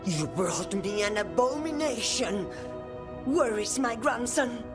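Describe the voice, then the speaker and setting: A stern, elderly matriarch from a video game. She speaks slowly and commandingly, with strong swings in pitch. Her manner is imperious and accusatory, over clear background music. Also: expressive. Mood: accusing, cold. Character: stern, imperious elderly grandmother, head of an assassin family. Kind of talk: video game character acting.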